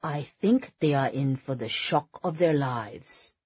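Audiobook role: gleefully catty society gossip female reader, hushed and conspiratorial, low husky voice. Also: animated, normal speed, muffled recording, heavily acted. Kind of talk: audiobook character dialogue.

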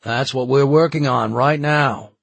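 A man voicing a stern, worried small-town official trying to keep order in an audiobook, tense and forceful in manner. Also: lowish voice, steady tone, normal speed, breathy edge, no echo. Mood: announcing.

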